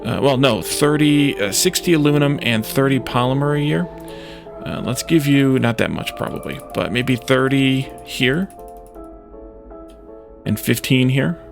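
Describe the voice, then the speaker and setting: A man with a creaky, gravelly voice at a middling pitch narrates his own gameplay. He thinks out loud in short, halting phrases, muttering to himself as he decides each step. Music is clearly audible behind him. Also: expressive. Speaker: let's play host. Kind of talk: gaming commentary, thinking aloud while playing.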